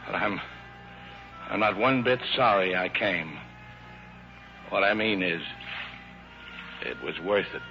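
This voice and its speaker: An actor playing a rough-hewn but tender, uneducated riverboat skipper in a vintage radio drama, talking in plain, folksy, ungrammatical speech. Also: male voice, low voice, takes it slowly, long pauses, soft background music. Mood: weary, tender. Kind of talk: 1950s American radio theater acting. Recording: muffled, noisy old radio broadcast recording.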